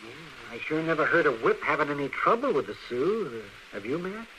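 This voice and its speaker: Fussy small-town western doc: highish, very animated, rambling; old radio recording with faint noise. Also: male voice, normal speed, low-quality recording. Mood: folksy teasing. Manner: hesitant, rambling, repeats words. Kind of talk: old-time radio western drama acting.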